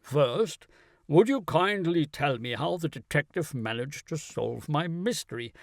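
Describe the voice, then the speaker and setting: A man's voice: fairly high pitch, expressive, a little slow, creaky, no echo, studio quality. A man voicing a grateful, curious aristocratic earl in an audiobook, with a courteous, formal manner. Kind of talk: audiobook character acting.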